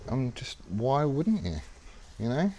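A man's voice: mid-range voice, sing-song, takes it slowly, mellow, slight background noise. A middle-aged man casually explaining his tool care while working in a garage workshop, rambling and matter-of-fact with many ums. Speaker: workshop vlogger.

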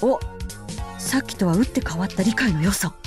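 A woman's voice: medium pitch, animated, normal speed, natural voice. A woman playing a boisterous, playful, nosy big-sister character in an anime-style game, with a theatrical manner. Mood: cheerful teasing. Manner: theatrical, singsong, exaggerated. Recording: background music under the voice.

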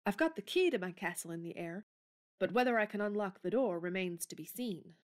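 A woman's voice: medium pitch, a little fast, lightly acted. A woman playing a bold, spirited young writer. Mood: eager. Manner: forthright, emphatic, lively. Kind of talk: theatrical audio drama acting.

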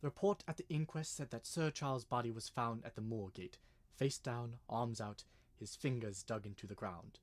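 Solemn gentleman, acted by a man. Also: medium pitch, moderate pace, lightly acted. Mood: grave. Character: grave gentleman recounting a family legend to a detective. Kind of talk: radio drama acting.

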